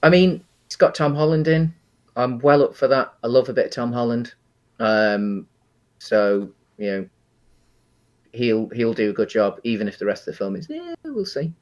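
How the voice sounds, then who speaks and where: A British man with a medium-pitched voice talks as a podcast co-host. His delivery is casual and conversational, with light-hearted banter, as in an informal chat about games and films. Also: expressive, moderate pace, lo-fi sound.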